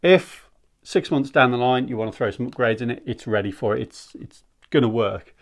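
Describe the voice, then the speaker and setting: A man's voice: medium pitch, normal speed. A man giving a casual, candid product review in a conversational, rambling way. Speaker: airsoft gear reviewer.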